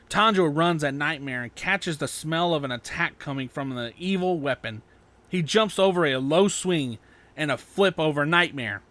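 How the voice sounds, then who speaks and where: A male narrator reads an action story aloud in a dramatic style. His voice sits a little high and has a bright, forceful tone. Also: no room sound.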